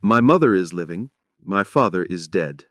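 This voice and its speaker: A man performs a stern and earnest male doctor in a stage play. He sounds grave and admonishing, pitched on the low side. The recording is dry, with no room sound. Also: expressive, normal speed, a bit bright, dry recording. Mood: curt, grave. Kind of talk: dramatic reading of a stage play.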